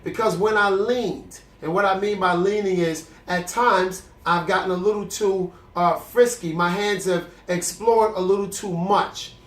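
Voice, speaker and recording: A young man with a fairly high voice speaks about his faith, congested and coughing a little. He talks to the camera in an earnest, preacherly way, often restarting his sentences. The room gives his voice a noticeable echo. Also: fairly even intonation, unhurried, hardly any pauses. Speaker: host of a celibacy video series. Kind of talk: candid faith talk to camera. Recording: echoey room.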